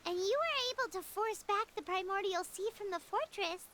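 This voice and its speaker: A woman voicing a tiny, childlike, excitable and cheeky female fairy companion in a video game, with a squeaky voice and blurting delivery. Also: moderate pace. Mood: flustered, puzzled. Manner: blurting, exclamatory. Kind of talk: cartoonish video game acting.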